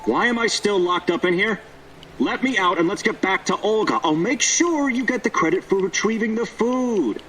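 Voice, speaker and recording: A man's voice: sits high, animated, moderate pace, fairly bright tone, far from the mic, a little hiss or hum, poor audio. An actor playing a shifty, pleading male survivor in a video game, hesitant and evasive. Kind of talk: video game character acting.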